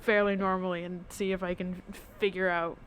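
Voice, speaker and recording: A woman's voice: lowish voice, level tone, slight background noise. A woman streaming a video game, chatting playfully and giggling between lines she reads aloud. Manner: giggly, playful, quick restarts. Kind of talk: casual game stream chat.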